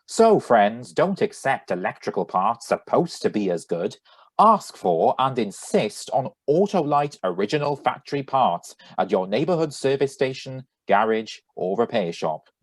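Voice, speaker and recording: A man playing an enthusiastic 1940s radio announcer and sponsor pitchman with a husky voice, read over a video call. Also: big pitch swings, a little slow, dry recording. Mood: upbeat selling.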